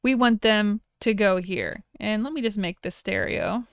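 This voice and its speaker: Woman, plain-spoken instructor, informal explanatory narration, exceptionally smooth and clear, very dry close sound. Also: medium pitch, unhurried, dry recording. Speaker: audio software instructor. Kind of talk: informal screen tutorial narration.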